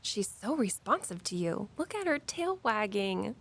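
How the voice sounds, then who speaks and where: Earnest tour guide, creaky voice. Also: female voice, medium pitch, very animated, unhurried, heavily acted. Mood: admiring. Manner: conversational, caring, earnest. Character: earnest, mystically minded daughter and walking-tour guide. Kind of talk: audio drama acting.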